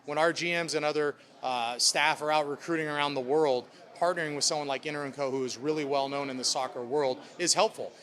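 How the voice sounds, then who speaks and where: A man's voice: on the high side, fairly even intonation, fairly quick, hardly any pauses, fairly bright tone. A man speaking as a club president, answering reporters' questions in upbeat corporate language. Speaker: sports club president at a press conference.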